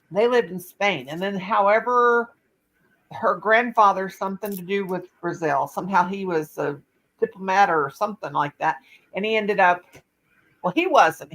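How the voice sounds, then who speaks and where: A woman's voice: mid-range voice, a bit bright, poor audio. An older woman hosting a casual live chat on her laptop, telling a rambling story full of restarts. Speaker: channel host.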